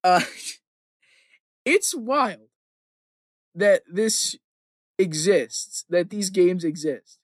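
A podcast host talks in a casual, opinionated ramble. The voice sits at a middling pitch, recorded dry with little room sound. The delivery is emphatic and sweary, circling back to repeat phrases for emphasis. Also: animated, very slow, long pauses, no room sound.

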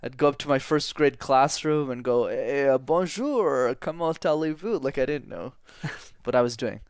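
A man's voice: medium pitch, animated, unhurried, smooth, no echo, clean studio sound. A young man from Montreal, a public speaking coach, talking energetically and directly in an interview, often repeating words for emphasis. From Montreal, Canada. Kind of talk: casual interview talk.

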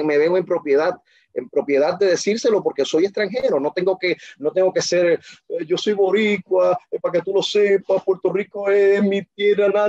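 A confident man tells his stories emphatically, stumbling and restarting as he goes. His voice is dark and husky. The sound is dry and dull. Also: high voice, dry recording. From Dominican Republic. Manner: emphatic, self-assured, full of restarts. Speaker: interview guest. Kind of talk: casual interview storytelling.

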